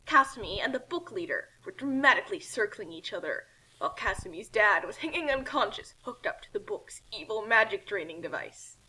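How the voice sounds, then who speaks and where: A woman's voice: medium pitch, lively intonation, a little fast, sharp tone, husky, poor audio. A woman reading a comic story aloud and commenting on it casually.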